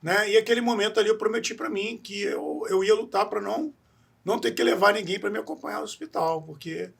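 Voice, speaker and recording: Husky higher-voiced man. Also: animated, quick pace. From Espírito Santo, Brazil. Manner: earnest, rambling, tags many phrases with a questioning aside and restarts often. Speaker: podcast guest. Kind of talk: personal life story in an interview.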